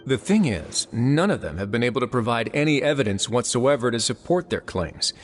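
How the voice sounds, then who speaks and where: A man voicing a composed, authoritative prison administrator in video game dialogue, measured and formal. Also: medium pitch, big pitch swings, faint music behind. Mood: serious, explanatory.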